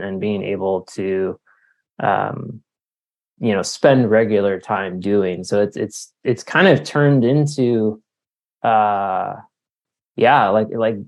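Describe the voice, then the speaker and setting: Low, creaky-voiced man chatting in an interview. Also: darkish tone. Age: 40s. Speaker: author being interviewed. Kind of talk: casual conversational interview answers. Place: remote call.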